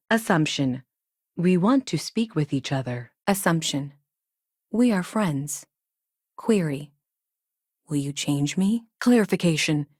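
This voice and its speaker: A female robot ai from a space game, low voice, deadpan n formal, super dry clean sound. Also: dry recording, full character voice. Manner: clipped, formal, deadpan, announces each statement type first. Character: emotionless, logical, self-aware female AI space probe. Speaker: video game character. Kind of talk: scripted game dialogue.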